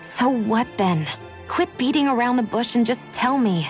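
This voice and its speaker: A woman's voice: a little high, lively intonation, moderate pace, close to an everyday voice. An actress playing an aloof, prickly young woman healer in a game, blunt and impatient in her delivery. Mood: defensive, impatient. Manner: curt, cool, impatient. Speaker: game character voice. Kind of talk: scripted English game voice acting. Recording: background music under dialogue.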